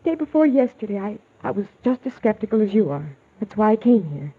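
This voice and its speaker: A woman's voice: mid-range voice, big pitch swings, brisk, breathy edge. An actress playing a frightened, pleading young woman in a breathless, earnest 1940s radio melodrama style. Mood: fearful, intense. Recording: dull old radio recording, faint noise.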